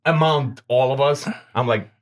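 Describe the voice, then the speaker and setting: A man co-hosting a podcast in casual, joking banter, excitable and speaking non-native English. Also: medium pitch, moderate pace. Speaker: podcast co-host.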